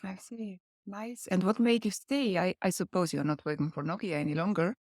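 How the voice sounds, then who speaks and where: A woman's voice: fairly low pitch, steady tone, a little mellow, no room sound, studio quality. A woman host, upbeat and encouraging as she interviews over a video call. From Estonia. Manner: upbeat, quick to say "nice".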